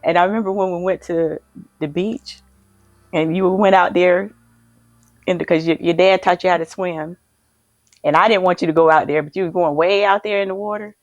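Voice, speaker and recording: Older mom sharing family memories; low, warm-natured delivery, close dry mic. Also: female voice, fairly even intonation, normal speed, no echo, highs cut off. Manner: fond and reminiscing, laughs softly while talking. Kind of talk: casual family conversation.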